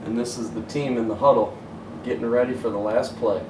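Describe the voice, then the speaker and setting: A man reading a children's picture book aloud as a coach would, pausing to point out the pictures. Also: mid-range voice, steady tone. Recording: roomy, distant mic, faint hiss.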